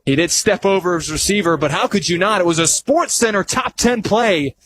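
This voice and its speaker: A man doing live radio play-by-play of a football game, descriptive and conversational, getting animated on big plays. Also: high voice, a little fast. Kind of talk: live radio sports commentary.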